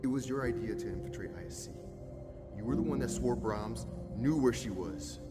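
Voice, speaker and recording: A man's voice: fairly low pitch, normal speed, long reverb tail, over music, close to an everyday voice. An actor playing a bitter, defiant, unrepentant hitman confronting an old friend in an audio drama. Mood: angry, defiant. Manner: accusatory, defiant, profane.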